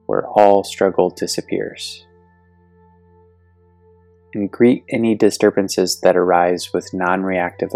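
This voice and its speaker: Man, very low voice, meditation guide, soothing, long pauses, dry close sound, soft music behind. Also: vocal fry, no room sound. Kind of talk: guided yoga nidra meditation.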